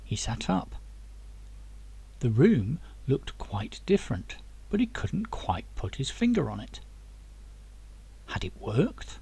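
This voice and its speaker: A man's voice: mid-range voice, darkish tone, breathy edge. A man narrating a fiction audiobook as the author reading his own novel.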